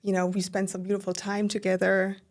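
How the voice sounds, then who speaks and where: A woman's voice: medium pitch, completely flat delivery, brisk. German-accented woman telling her story. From southern Germany. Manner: warm, storytelling with many fillers. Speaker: guest. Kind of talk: casual interview conversation.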